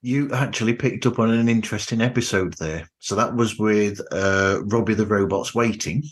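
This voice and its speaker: A man's voice: lowish voice, normal speed, husky. A middle-aged British man chatting casually as a podcast host. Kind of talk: casual chat.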